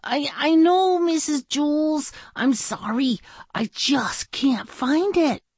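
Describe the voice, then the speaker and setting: A woman's voice: high voice, slow, a little mellow, no echo, pitched above the performer's own voice. A woman voicing an anxious, apologetic schoolgirl in a children's story, pleading and flustered. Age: child figure played by an adult.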